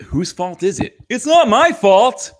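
Young man as cocky space smuggler, slightly high male voice, animated, unhurried, mock-serious. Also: slight background noise. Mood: indignant. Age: young adult. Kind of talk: comic live table read. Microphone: close desk microphone with headset.

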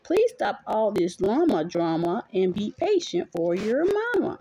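A woman's voice: medium pitch, very animated, a little slow, dark tone, husky. A mother reading a picture book aloud to her young child in a playful, sing-song way, chatting with the child as she goes. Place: small dim bedroom at home.

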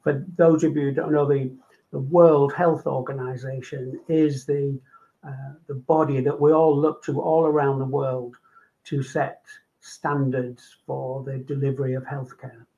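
A man's voice: mid-range voice, little pitch movement, measured pace, dark tone. An older man from Manchester with a Manchester accent, talking about his work and life on a video call from home, with many ums and repeated words. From England. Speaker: guest doctor. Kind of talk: casual video call interview. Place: home room. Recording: dull lo-fi video call audio.

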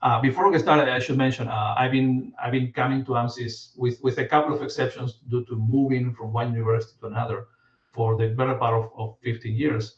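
A man in his forties from Argentina, giving a relaxed academic talk with many fillers and restarts over a video call. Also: mid-range voice, a little fast. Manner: relaxed, frequent fillers and repeated words. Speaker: guest lecturer, professor. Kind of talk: casual academic presentation. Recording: distant, roomy, dull video-call audio.

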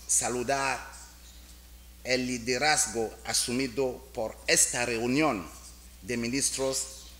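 A man's voice: mid-range voice, a bit bright, a little hiss or hum. A middle-aged man with a French accent, reading a formal speech carefully from a podium in a large conference hall. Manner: slow, careful delivery in a second language. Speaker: international organization official. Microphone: podium microphone.

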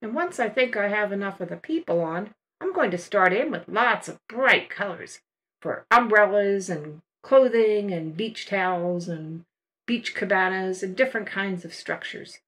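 An older woman talks through her painting steps in a calm, unhurried voice-over. Her voice sits at a middle pitch. She explains as a patient art teacher. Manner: relaxed, unhurried step-by-step explaining.

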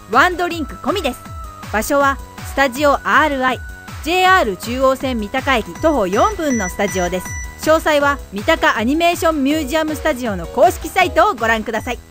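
A woman's voice: high-pitched, expressive, fairly quick. A woman reading a scripted promotional announcement for an event. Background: background music.

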